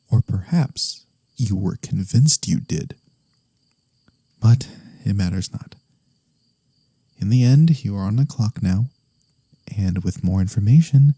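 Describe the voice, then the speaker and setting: Soft, slow, dark-voiced gentle incubus, played by a man. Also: lowish voice, breathy edge, dry recording, heavily acted. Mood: probing, calm. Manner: measured, formal, gently teasing. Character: gentle honorable male incubus demon, courteous, teasing. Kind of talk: soft-spoken ASMR fantasy roleplay.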